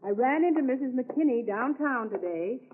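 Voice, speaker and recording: An actress playing an affectionate, fussing, trusting wife in a 1940s radio drama, on an old tinny recording. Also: female voice, sits high, animated, moderate pace. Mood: coaxing, fond.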